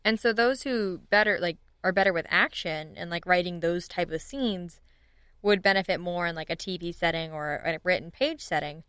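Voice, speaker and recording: A woman's voice: on the low side, moderate pace, fairly bright tone, clean tone, no room sound, clean studio sound. A woman speaking as a guest in a casual, conversational podcast interview. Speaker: podcast guest, audio drama writers' room leader.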